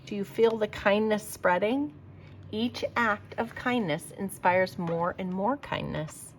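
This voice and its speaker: A woman reading a children's picture book aloud like a teacher, with expressive, emphatic delivery for young listeners. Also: mid-range voice, takes it slowly, slight background noise, low-quality recording.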